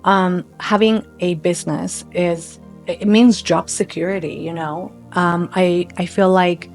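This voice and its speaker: Slovak-born woman guest, low voice, animated and laughing, faint music under. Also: unhurried. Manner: bubbly, laughs often, animated. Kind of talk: casual interview chat.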